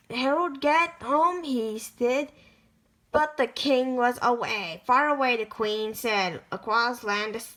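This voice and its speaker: A woman reading a children's picture book aloud, storytelling with lively emphasis and the occasional stumble. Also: high-pitched, low-quality recording.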